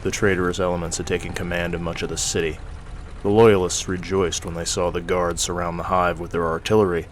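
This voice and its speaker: A man's voice: sits low, a little hiss or hum. A man giving a grave, theatrical narration of scripted horror stories.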